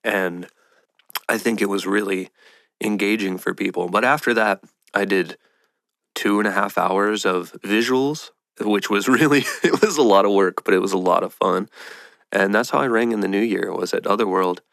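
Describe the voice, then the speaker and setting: A man with a low-pitched voice chats casually as a solo podcast host. He sounds nasal and stuffed up with a head cold, talking informally in a very dry, close recording. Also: moderate pace, gravelly, a bit bright, no room sound. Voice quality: nasal, congested.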